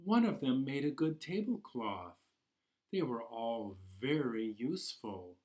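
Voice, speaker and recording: A man hosting a storytime for children, reading aloud expressively in a deliberate, encouraging way. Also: mid-range voice, very animated, a little mellow. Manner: slow, deliberate storytelling with dramatic pauses. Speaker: library storytime host.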